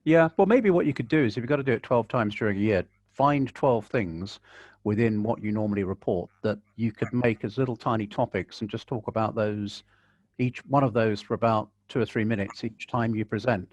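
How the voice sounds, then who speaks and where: A man giving calm, measured advice and answering questions in a video-call webinar, with a dry, wry way of talking. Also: fairly low pitch, no echo. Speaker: guest conservationist.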